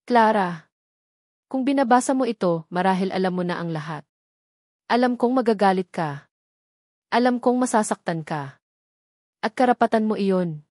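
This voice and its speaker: A woman's voice: medium pitch, expressive, moderate pace, long pauses, darkish tone, dry recording, studio quality, lightly acted. A woman voicing a loving, remorseful older sister's farewell letter in an audio story, earnest and confessional. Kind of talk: scripted audio story drama.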